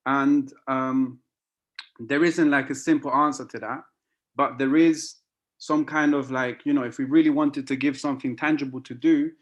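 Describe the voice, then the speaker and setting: Hesitant man, flat and even. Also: medium pitch, normal speed. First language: Spanish. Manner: hesitant, many fillers. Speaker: meeting participant. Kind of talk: casual contribution to an online council meeting.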